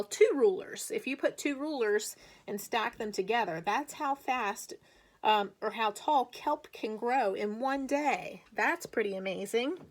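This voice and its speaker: A woman with a fairly high voice talks as a teacher to young children. She takes her time, very slow and deliberate, with emphatic read-aloud phrasing. Chatty asides and encouraging questions are part of her delivery.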